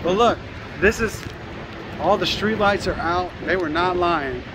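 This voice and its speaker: A man from Louisiana filming a casual walk through dark city streets, joking and reassuring the others. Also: sits high, a little slow. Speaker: vlogger filming a night walk. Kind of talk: casual chat while walking. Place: city streets at night, outdoors. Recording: noisy outdoor street recording.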